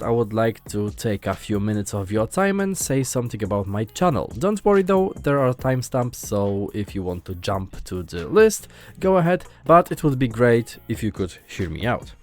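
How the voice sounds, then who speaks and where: A man's voice: mid-range voice, a little mellow. A young man from Poland with a Polish accent, earnestly narrating a scripted gaming video as host.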